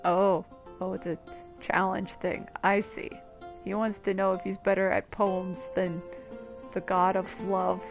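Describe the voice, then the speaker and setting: A woman's voice: mid-range voice, level tone, unhurried, gravelly, phone-quality sound. A woman giving casual, playful and informal reactive commentary while playing a video game. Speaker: gamer commentating on a playthrough. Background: game music.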